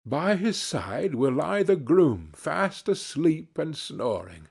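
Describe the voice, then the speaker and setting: A man's voice: on the high side, very animated, slow, creaky, darkish tone, breathy edge, heavily acted. A man voicing a wise, helpful talking fox in a storybook, giving formal, old-fashioned instructions. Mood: reproving, instructive.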